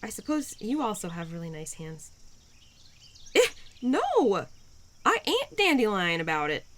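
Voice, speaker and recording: A woman's voice: mid-range voice, wildly swooping pitch, unhurried, fairly bright tone. A woman playing a cheerful, pun-loving spirit of spring in an intimate audio roleplay, playful and encouraging with flustered hesitations. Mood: flustered, bashful.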